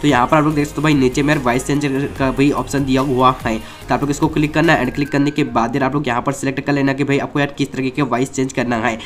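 A man narrating a casual step-by-step gaming tutorial in a chatty way. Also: medium pitch. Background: background music.

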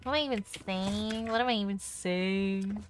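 A woman streaming a shooter game live, reacting excitedly, swearing and rambling. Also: medium pitch, moderate pace, faint music behind. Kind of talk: casual live gaming commentary. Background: game sounds.

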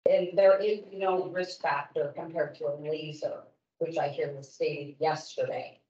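A woman's voice: low voice, steady tone, measured pace, dark tone. A woman in her fifties, an esthetician from Oregon, giving an earnest, emphatic public comment to a licensing board in a large meeting room. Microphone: distant room mic. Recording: roomy, distant, dull meeting audio.

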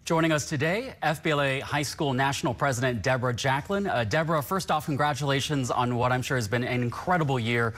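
A man's voice: medium pitch, quick pace, few pauses, a bit bright. A man whose first language is Vietnamese conducts a broadcast news interview in a polished, upbeat anchor manner.

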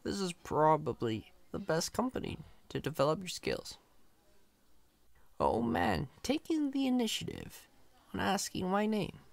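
A man's voice: sits high, big pitch swings, a little slow, creaky, dry recording, exaggerated voice. A man playing a chatty, cocky, friendly company employee in an ASMR roleplay, casual, reassuring and playfully boastful. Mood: easygoing, friendly.

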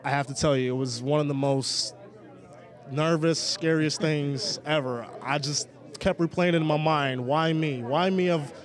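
A man's voice: a little high, some chatter behind. A young man being interviewed about his time as a student leader, speaking earnestly with frequent restarts. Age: young adult. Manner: earnest, halting, many restarts and fillers. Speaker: former student leader, guest. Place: outdoors, city setting.

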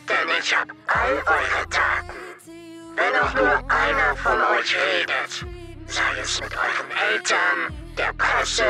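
Cold, theatrical masked blackmailer, breathy and threatening, drawn-out delivery over loud music, audio drama. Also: male voice, extremely high pitch, heavily acted. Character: menacing masked male blackmailer, cold and taunting. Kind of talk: audio drama villain speech.

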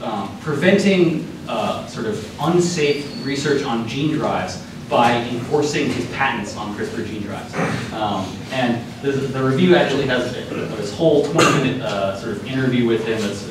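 A very reverberant lecture-hall recording of a young man, picked up from a distance with clear noise behind him. He presents informally, in a halting manner, with frequent filled pauses and hedges. His pitch is average for a man. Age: young adult. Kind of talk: informal academic news presentation. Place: lecture hall, speaking at the front. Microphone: far camera microphone.

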